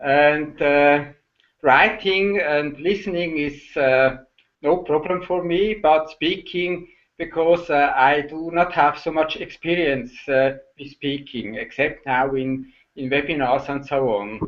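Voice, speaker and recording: A man's voice: on the high side, fairly even intonation, muffled recording. A middle-aged man from Switzerland, a Swiss German native speaker with a Swiss German accent, talking hesitantly on a video call as a guest. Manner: hesitant, halting, many uh fillers. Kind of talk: casual video call chat.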